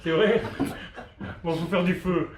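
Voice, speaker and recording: A man's voice: sits high, steady tone, measured pace. A middle-aged man from France, answering casually as a restaurant cook being interviewed, hesitant and repeating words as he searches for phrasing. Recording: echoey room with faint noise.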